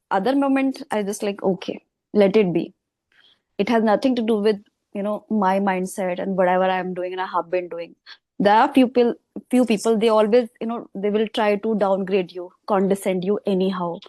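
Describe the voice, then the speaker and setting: A woman with a mellow, soft-toned voice speaks as a channel host in casual conversation. She talks reflectively, searching for words with many pauses and fillers. The sound is dry and close to the mic. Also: mid-range voice, no echo. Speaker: YouTube English teacher, host.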